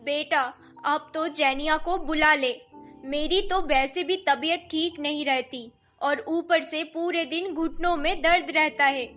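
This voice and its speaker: A woman's voice: sits high, fairly even intonation, measured pace, pushed and bright, soft background music, voice pushed up. A woman playing an aging Indian mother-in-law in a family story, plaintive, homely and doting. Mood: weary, pleading.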